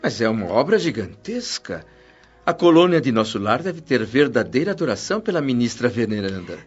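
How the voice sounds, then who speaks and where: Curious man new to the afterlife, Brazilian accent, husky, very animated intonation, marveling, radio drama, music behind. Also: medium pitch, brisk. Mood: awed. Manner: inquisitive, hesitant, marveling. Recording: dull lo-fi audio, music behind.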